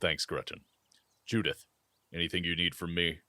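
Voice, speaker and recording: A man plays a devoted werewolf guard in an audio roleplay. His voice is very deep and his manner gruff and laconic. It is recorded close and dry. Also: fairly even intonation, normal speed, pushed and bright, dry recording. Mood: weary, dutiful.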